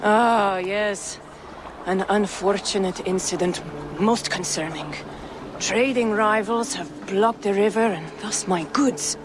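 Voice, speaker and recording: A woman's voice: medium pitch, slow pace, noisy background, close to an everyday voice. An actress playing a shrewd, courteous trader woman from the east in a video game, with formal, wry, playful phrasing. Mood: courteous, businesslike. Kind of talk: video game cutscene acting. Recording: music under the voice.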